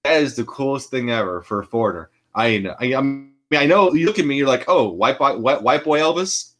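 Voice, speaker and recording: Podcast co-host, male, mid-pitched, rambling casual chat. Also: animated, a little fast, a bit bright. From Austria. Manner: rambling, full of restarts and fillers.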